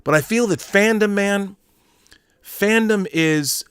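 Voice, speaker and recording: A man's voice: high voice, slow, a bit bright, smooth, dry recording, studio quality. A middle-aged American man giving casual, opinionated commentary as a show host, rambling and emphatic, with some swearing.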